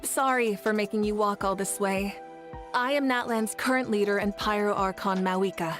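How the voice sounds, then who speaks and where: A woman voicing a poised, courteous young ruler, measured and a bit awkward, in a video game. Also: mid-range voice, lightly acted. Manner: polite, measured, a little awkward. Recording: game audio with faint music.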